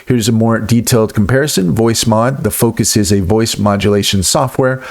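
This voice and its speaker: A man with a fairly low voice narrates a casual review video as its host. He moves between explaining things in his own words and reading comparison notes aloud, in a tutorial style. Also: moderate pace.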